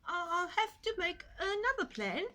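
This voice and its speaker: Storybook piglet, young and male, chirpy and hopeful, sailing up high; woman reader doing the voice. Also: unhurried, exaggerated voice. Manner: bright, breathless excitement.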